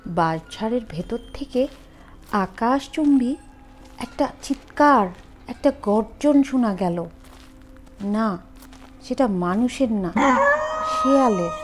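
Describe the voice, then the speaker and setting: A woman narrates a scripted horror story dramatically, with suspenseful, deliberate phrasing and pauses. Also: fairly high pitch, animated, soft background music.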